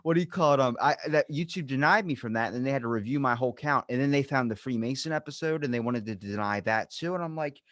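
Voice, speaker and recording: Male podcast host, medium pitch, very dry recording, casual, blunt and tangential. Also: fast talker, few pauses, a bit bright, no room sound.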